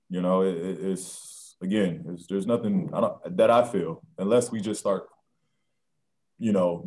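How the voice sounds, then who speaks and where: A man in his thirties answering postgame questions at a press conference, soft-spoken and halting with many ums and restarts, on a video call. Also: sits low. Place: press room with sponsor backdrop.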